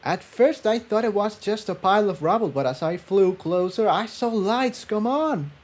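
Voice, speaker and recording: An eager, cheerful young pegasus mare from a storybook , acted by a man. The voice is pitched well up and kept soft, with quicker delivery than the narration. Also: lively intonation. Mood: excited. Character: excitable, cheerful young female pegasus pony.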